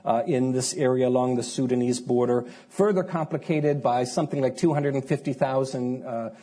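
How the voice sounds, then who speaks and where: A man speaking on an academic panel, halting and hesitant, with many fillers. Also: medium pitch, mellow.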